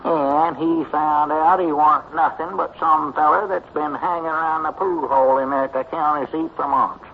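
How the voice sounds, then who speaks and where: Old radio comedy: male actor as gullible old Southern rustic, highish voice, rambling and folksy, muffled noisy recording. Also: steady tone, moderate pace, hardly any pauses, close to an everyday voice. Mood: gossiping. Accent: rural Southern US. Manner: rambling, homespun, naive. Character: gullible, excitable, folksy old country storekeeper.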